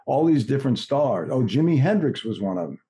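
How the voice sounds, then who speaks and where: A man's voice: mid-range voice, big pitch swings, a little fast, dark tone. A middle-aged man who works in voice-over, chatting casually as a podcast guest on a video call. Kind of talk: casual chat. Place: home room on a video call.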